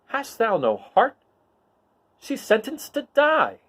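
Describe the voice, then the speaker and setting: An earnest young farmboy rebel, as a man reads him aloud, pitched well up and full of fervor. He declaims in Shakespearean verse with big, swinging intonation, like the hero of a dramatic storybook. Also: huge pitch swings, slow, a bit bright, full character voice. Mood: pleading, indignant.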